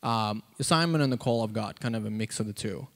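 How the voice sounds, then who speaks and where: A man gives an informal sermon and testimony into a handheld mic, halting and conversational, with lots of "like" and "you know.". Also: on the low side. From South Africa. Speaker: preacher. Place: church auditorium, on stage before seated congregation. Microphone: handheld microphone.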